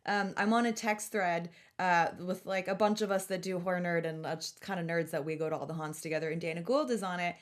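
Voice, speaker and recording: A woman hosting a podcast in casual chat, telling stories in an animated way with casual swearing. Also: lowish voice, fairly quick, hardly any pauses. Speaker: podcast host.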